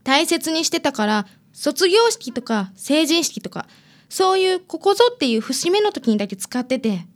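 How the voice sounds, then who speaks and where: Young female client, radio drama, played by a woman: high, lively pitch, casual, stubborn. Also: normal speed. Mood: hesitant.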